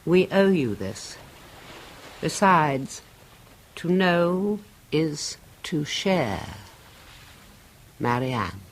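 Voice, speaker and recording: A grieving widow. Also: female voice, deep voice, very animated, drawn-out words, pauses often, full character voice. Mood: earnest. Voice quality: earnest, restrained emotion. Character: grieving woman, the dead man's widow. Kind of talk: 1970s radio drama acting.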